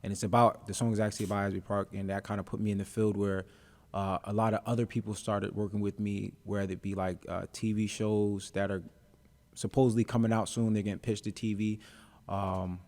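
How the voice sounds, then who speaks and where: A man's voice: fairly low pitch, flat delivery, a little fast, darkish tone. A young adult man, a rapper being interviewed, talking in a laid-back, easygoing way with plenty of ums and uhs. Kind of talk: casual interview chat.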